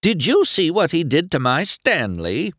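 Guy doing an indignant lady car in a storybook, high, scolding, dry recording. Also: big pitch swings, a little slow, breathy edge, dry recording, exaggerated voice. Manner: scolding, aggrieved. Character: indignant female townsfolk cars, one elderly, scolding.

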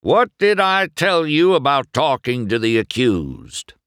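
A man voicing a gruff, bossy sheriff car in an audiobook, with a snarling, scolding manner. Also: medium pitch, big pitch swings, takes it slowly, fairly bright tone, no room sound, studio quality, voice pushed up. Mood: angry, scolding. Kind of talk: audiobook character voice.

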